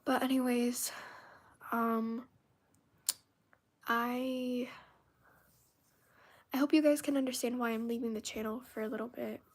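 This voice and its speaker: Young woman, Spanish first language, high voice, casual emphatic live-stream venting with lots of fillers. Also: steady tone, measured pace, pauses often. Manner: venting, emphatic, filler-heavy with frequent restarts.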